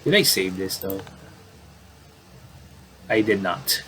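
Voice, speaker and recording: A man doing casual game commentary, reading on-screen dialogue aloud and adding halting asides. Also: low voice, expressive, a bit bright, a little hiss or hum, rough recording. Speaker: gamer doing playthrough commentary.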